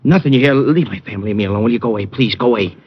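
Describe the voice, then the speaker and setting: A man's voice: mid-range voice, racing, a little hiss or hum, natural voice. An actor playing a frightened, evasive family man and truck driver in an old radio drama, stammering and pleading. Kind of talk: 1940s-50s radio crime drama acting. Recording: dull, lo-fi old radio recording.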